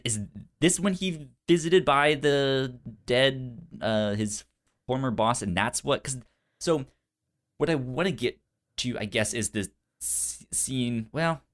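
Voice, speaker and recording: A man co-hosting a podcast with casual film commentary, halting and stuttering on repeated sounds and words. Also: medium pitch. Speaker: podcast co-host.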